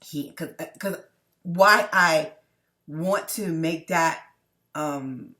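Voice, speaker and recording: A woman's voice: low voice, very slow, bright, forceful tone. A middle-aged woman from New York City, talking straight to her viewers in a rambling personal monologue. She restarts her sentences a lot and keeps checking in with "okay?". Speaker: vlogger.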